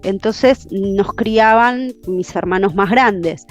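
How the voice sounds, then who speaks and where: A woman from Argentina with a Buenos Aires accent, a coaching trainer telling her story in an interview, talkative and quick to laugh. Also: medium pitch, soft background music. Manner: talkative, laughs often, self-correcting.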